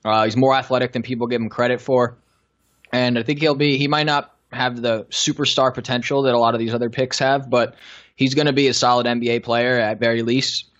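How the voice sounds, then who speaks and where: A man giving a measured draft pick on a podcast panel, steady and with some fillers. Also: medium pitch. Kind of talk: casual sports draft chat. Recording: dull lo-fi recording.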